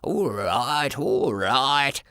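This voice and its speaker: A man voicing a frail, kindly old grandfather with a trembling, halting voice in an audiobook story. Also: medium pitch, expressive, bright, forceful tone, no room sound, studio quality, exaggerated voice. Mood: flustered, anxious. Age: older.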